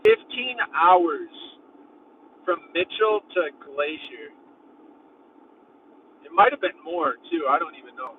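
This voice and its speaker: Rambling high-voiced man vlogging from his van. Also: big pitch swings, slow pace, long pauses. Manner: rambling, heavy use of "like". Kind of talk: casual road-trip vlogging. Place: inside a van while driving. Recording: thin phone-like sound from a distant mic in a car. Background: faint road and engine noise.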